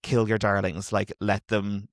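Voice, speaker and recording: A man's voice: lowish voice, level tone, a little slow, no room sound, studio quality. A man hosting an interview podcast, hesitant with many ums as he asks his questions. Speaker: podcast host, drama teacher. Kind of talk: casual interview chat.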